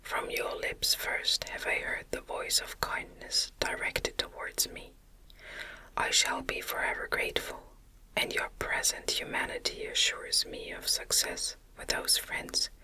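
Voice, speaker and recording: A woman's voice: measured pace, pushed and bright. A woman voicing a lonely, anguished male monster, pleading and eloquent, in a whispered ASMR audiobook reading. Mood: grateful, earnest. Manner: formal, earnest, literary phrasing.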